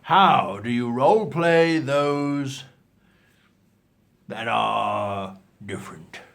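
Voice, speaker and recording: A man presenting role-playing advice in an instructional talk, with a theatrical, emphatic manner and frequent rhetorical questions. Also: medium pitch.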